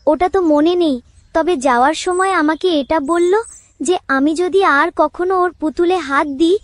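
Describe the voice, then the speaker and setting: A woman's voice: very high voice, normal speed, no room sound. A woman voicing an innocent, timid little schoolgirl in a cartoon story, childlike and earnest. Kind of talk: Bengali cartoon story acting.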